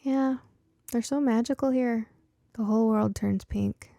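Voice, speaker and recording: A woman's voice: on the high side, steady tone, unhurried, gravelly, dark tone, no room sound, clean studio sound. A woman voicing a curious, bookish and stubborn young daughter in an audiobook story, earnest and a little hesitant. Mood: dreamy.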